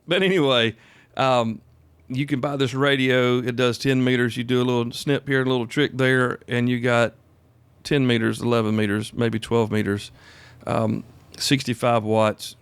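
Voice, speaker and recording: A man's voice: medium pitch, smooth, dry recording. Plainspoken Southern US man in his fifties. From South Carolina, United States. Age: 50s. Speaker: podcast host. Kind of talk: informal solo podcast talk.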